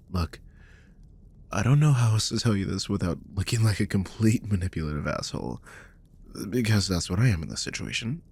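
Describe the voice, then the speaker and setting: A man acts out a protective guardian in an intimate audio drama. His voice is low and very breathy, with a husky edge, and he speaks slowly and gently. He sounds careful and burdened with guilt, often sinking close to a whisper. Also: exaggerated voice. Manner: soft, hesitant, soothing, with frequent sighs. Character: protective, guilt-ridden male magical guardian, gently comforting.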